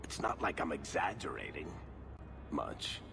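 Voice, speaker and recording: Deep, gravelly roguish storyteller. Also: male voice, big pitch swings, measured pace, noisy background, exaggerated voice. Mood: wry, sheepish. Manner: wry, casual, self-excusing. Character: roguish, wry storyteller companion, jovial. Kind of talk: video game character acting.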